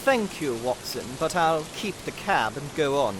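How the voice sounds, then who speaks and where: A man acting in audio theatre as an earnest, sheepish young gentleman doctor, formal and expressive. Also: a little high, a little slow, noisy background. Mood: rueful, polite. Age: young adult.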